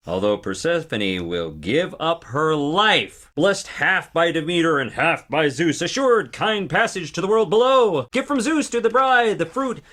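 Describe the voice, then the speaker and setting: A man's voice: fairly high pitch, fairly bright tone. A man playing a theatrical stage actor who rehearses the part of a silky mythic messenger god in a video game, grandly declaiming. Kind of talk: video game acting, declaimed myth verse.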